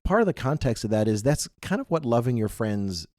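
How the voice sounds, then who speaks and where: A man's voice: mid-range voice, big pitch swings, brisk, dark tone, no echo, clean studio sound. A man co-hosting a casual religious youth podcast in a chatty, self-deprecating way, full of asides, getting over a mild cold. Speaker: podcast co-host.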